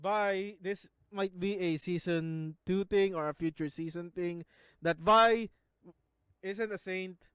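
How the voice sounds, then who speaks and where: A man's voice: sits high, fairly even intonation, takes it slowly, fairly bright tone, clear, resonant tone, no echo, clean studio sound. A man from the Philippines with a Filipino accent, talking casually in a video as he analyzes scenes, often stopping to restart words. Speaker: YouTuber analyzing a show.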